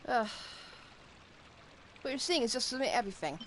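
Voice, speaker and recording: A woman gives casual live commentary on a game in a high voice with big pitch swings. She is expressive and exasperated, blurting reactions over faint background noise. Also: normal speed. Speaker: game streamer.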